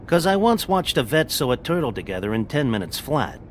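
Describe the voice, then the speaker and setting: A man's voice: mid-range voice, big pitch swings, brisk, slight background noise, close to an everyday voice. A man voicing a blunt, wisecracking talking pig in a video game, loyal and slangy in manner. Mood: irritated, mouthy. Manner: sardonic, slangy, informal.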